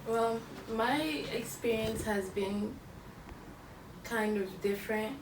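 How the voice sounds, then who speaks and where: A young woman from Cameroon, co-hosting a podcast and telling her story in a measured way, correcting herself as she goes. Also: on the high side, steady tone. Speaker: podcast co-host. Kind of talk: casual chat. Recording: echoey room, mic far off, background noise.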